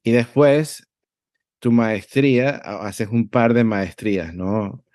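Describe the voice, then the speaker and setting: A man's voice: mid-range voice, slow, darkish tone, no echo, clean studio sound. A man hosting a podcast interview, asking questions and recapping his guest's story to check it. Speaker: podcast host.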